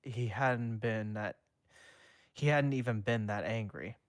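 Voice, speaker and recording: A man streamer reading a fanfic aloud, haltingly, with frequent self-corrections. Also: fairly low pitch, dry recording, clean studio sound. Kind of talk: fanfic narration, read live.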